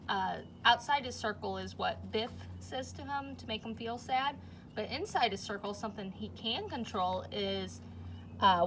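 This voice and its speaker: A woman's voice: fairly high pitch, fairly bright tone, slight background noise. A woman reading a children's storybook aloud like a teacher, with simple explanations and questions for young listeners.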